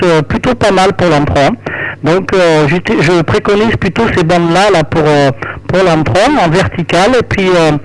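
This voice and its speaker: A man's voice: high-pitched, rough recording. A man who works as an amateur radio operator, chatting casually on air and often ending phrases with small conversational tags.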